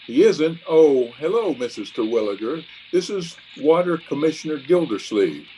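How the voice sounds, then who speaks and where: An actor playing a blustery, pompous, scheming middle-aged water commissioner, hammy and exclamatory, in an old-time radio comedy. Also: male voice, a little high, very animated, moderate pace, warm tone, a little hiss or hum, poor audio. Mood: blustering.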